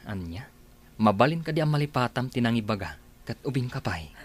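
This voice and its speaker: A man's voice: medium pitch, very animated, a little fast, slightly rough. A man playing a loyal, deferential farmhand who speaks earnestly to his boss, in a radio drama. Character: loyal farmhand, uncle figure to the boy.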